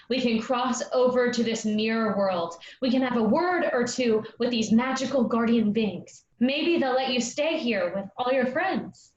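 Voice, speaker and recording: A woman's voice: fairly high pitch, lightly acted. A woman playing a bold, playful, loyal younger sister in a staged play reading. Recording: muffled, roomy, some background noise.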